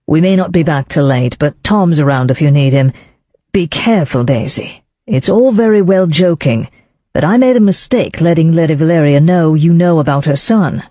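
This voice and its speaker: A reader voicing a calm, measured male chief inspector asking questions. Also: medium pitch, dry recording, close to an everyday voice. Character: steady, concerned police chief inspector, man. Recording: dull lo-fi, dry.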